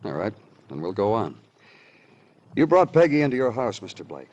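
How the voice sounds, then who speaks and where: An American actor playing a terse, insistent New York police detective in a 1950s radio crime drama. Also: male voice, fairly low pitch, very animated, normal speed, darkish tone, dull audio. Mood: pressing, accusing. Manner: clipped, insistent questioning. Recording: hissy old radio recording.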